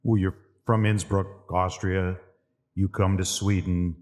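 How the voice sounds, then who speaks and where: A man with a very deep voice asks interview questions with a friendly, teasing ease. The room behind him has a long, lingering reverb. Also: level tone, slow, darkish tone. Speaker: podcast co-host. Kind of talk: casual interview chat.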